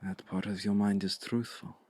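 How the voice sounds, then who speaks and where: A man playing a seductive, domineering vampire hypnotist in an intimate ASMR roleplay, speaking in a hushed, deliberate, breathy voice that often slips toward a whisper. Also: level tone. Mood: seductive, coaxing. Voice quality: low, breathy, gravelly, often slipping into whisper. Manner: deliberate, commanding, coaxing with long pauses.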